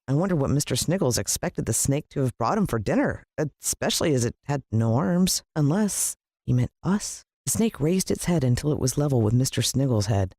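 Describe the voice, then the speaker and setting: A woman with an American accent giving a dramatic, expressive scripted narration of a children's story. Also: very deep voice, brisk, no echo, clean studio sound. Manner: dramatic, playing a child's first-person point of view.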